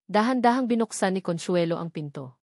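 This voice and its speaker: Female audiobook narrator for serialized drama. Measured, solemn storytelling, mid-range voice, dry recording. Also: medium pitch, animated, a little mellow, dry recording.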